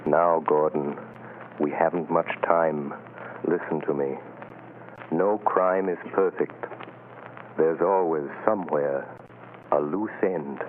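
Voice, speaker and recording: An actor playing a mysterious unseen male crime-fighter who talks slowly and ominously, in a 1930s radio drama. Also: rumbling low, fairly even intonation. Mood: commanding. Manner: slow, ominous, taunting. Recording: tinny hissy 1930s radio broadcast.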